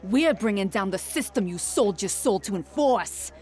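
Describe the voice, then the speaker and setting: A woman's voice: medium pitch, expressive, normal speed, warm tone, soft background music, natural voice. A woman voicing a defiant gang militant, a cold true believer with a contemptuous manner, in a video game. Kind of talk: video game drama acting.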